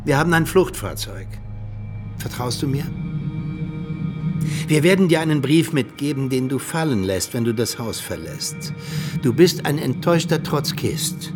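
A man plays a ruthless secret-service mentor in a radio play. His delivery is deliberate and commanding, with lively swings in pitch and a conspiratorial edge. Music runs clearly underneath. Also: close to an everyday voice. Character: ruthless Soviet agent mentor, coldly persuasive commander. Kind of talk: radio drama acting.

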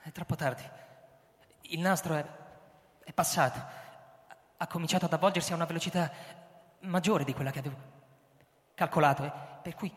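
A man's voice: a little high, steady tone, fairly quick, long pauses, lightly acted. An actor playing a doomed, resigned and dazed male android in a theatrical radio drama. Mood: dazed, fading. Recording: reverberant hall, music behind. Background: music.

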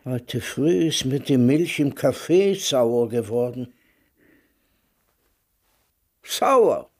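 A man's voice: mid-range voice, expressive, slow pace. An older man acting a grumbling, teasing, hard-of-hearing man in his nineties in a radio play. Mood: aching, complaining.

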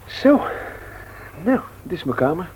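A man's voice: high-pitched, very animated, unhurried, husky, highs cut off, full character voice. An actor in a radio play playing a carefree young man, with a boyish, colloquial way of talking. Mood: casual. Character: carefree youth sneaking a friend home.

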